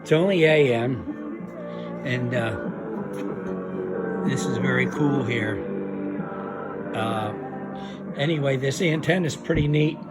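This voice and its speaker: A man giving an informal, unscripted demonstration as a hobbyist, explaining haltingly and hesitantly with trailing phrases. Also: medium pitch, loud music behind the voice, poor audio. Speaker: radio restoration hobbyist demonstrating a restored set.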